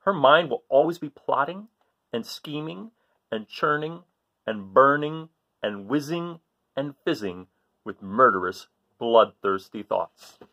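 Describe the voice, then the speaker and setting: Male storybook reader, very lively intonation, slightly husky, theatrical and emphatic for young listeners. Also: mid-range voice. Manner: theatrical, emphatic, drawn-out suspenseful phrasing. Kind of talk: dramatic read-aloud of a children's book.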